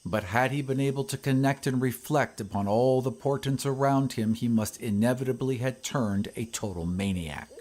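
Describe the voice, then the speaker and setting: A man reading a scripted horror story in a measured, literary storytelling manner. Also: medium pitch, a little mellow. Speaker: audiobook narrator. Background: ambient sound effects.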